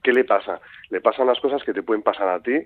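Fast-talking man on tinny radio. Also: lowish voice. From Madrid. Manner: chatty, animated storyteller. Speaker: guest author. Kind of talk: radio interview. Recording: tinny phone-like radio sound.

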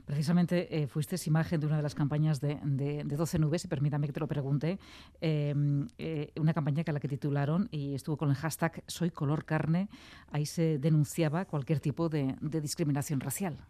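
Interviewer, mellow tone, medium pitch, hesitant conversational questions, dry studio-like sound. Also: fairly even intonation, brisk, no echo. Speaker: interviewer, radio host.

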